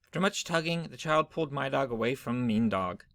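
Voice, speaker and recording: Male storyteller, children's book read-aloud, bright tone, medium pitch, dry recording. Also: animated, a little fast, no room sound.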